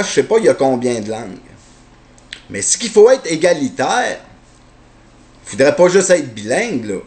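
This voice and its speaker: A man's voice: a little high, a little mellow. A man with a Québécois French accent delivering a heated, sarcastic opinion monologue and repeating rhetorical questions. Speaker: commentator.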